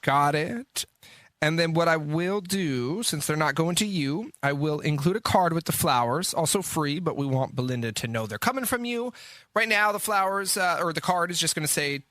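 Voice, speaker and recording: An American man with a bright, forceful, slightly high voice works as a morning radio host. He delivers polished show banter in a dry studio sound. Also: lively intonation, moderate pace, no room sound.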